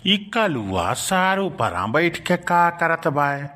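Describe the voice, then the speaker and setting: A man's voice: fairly high pitch, sing-song, takes it slowly, pushed and bright, exaggerated voice. A man playing a middle-aged village uncle in a comic cartoon, grumbling and rustic, with an Awadhi accent. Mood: scolding, exasperated. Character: scolding village uncle, easily blackmailed, henpecked and wheedling.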